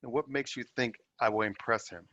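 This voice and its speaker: A man's voice: medium pitch, a little fast, a bit bright, no room sound. An actor playing a humble, guarded young African man in ancient Rome, hesitant and earnest, in a drama read-through. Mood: hesitant, modest. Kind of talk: dramatic table-read acting. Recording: muffled, slightly lo-fi, dry.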